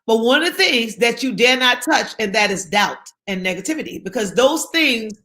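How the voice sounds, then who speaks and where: A woman's voice: on the high side, lively intonation, moderate pace, bright, forceful tone. A woman from South Carolina, speaking as an interview guest in an emphatic, encouraging way and repeating her key phrases for effect. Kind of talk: motivational interview talk.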